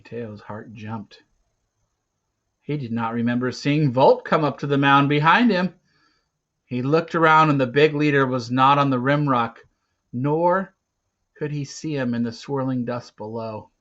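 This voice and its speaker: A man narrating a storybook aloud theatrically, with dramatic pauses and swings between hushed and loud delivery. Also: medium pitch, dull audio.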